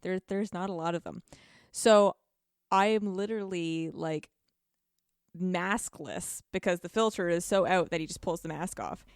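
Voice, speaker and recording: Low-pitched woman chatting casually, dry close sound. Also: unhurried, clean tone, no room sound. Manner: informal, dramatizes moments with repeated phrases. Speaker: podcast guest. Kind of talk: casual chat, animated storytelling about gaming.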